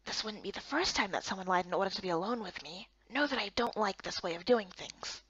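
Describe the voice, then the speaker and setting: Woman voicing a hushed, teasing young male adventurer. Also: mid-range voice, lively intonation, fairly quick, muffled recording, voice pushed down, close to an everyday voice. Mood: cool, suspicious. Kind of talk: visual novel game character dub.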